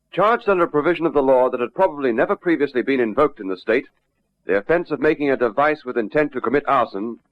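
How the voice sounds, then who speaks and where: Formal male radio crime narrator, lo-fi. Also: a little high. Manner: formal, announcerly. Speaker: narrator and host. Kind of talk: scripted crime-drama narration. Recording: dull lo-fi old radio recording.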